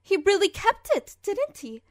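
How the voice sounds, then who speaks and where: A woman's voice: extremely high pitch, sing-song, a little slow, no room sound, clean studio sound, heavily acted. A woman voicing a refined, lovestruck young female pony fashionista, theatrical and elegant, in an audio drama letter reading. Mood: delighted.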